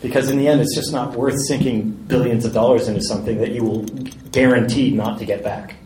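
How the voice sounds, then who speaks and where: A man answering audience questions at a live event, jokey and animated. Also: medium pitch, fairly quick, crowd noise. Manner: jokey, animated, laughs often. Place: large indoor event hall. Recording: echoey hall, distant mic, background noise.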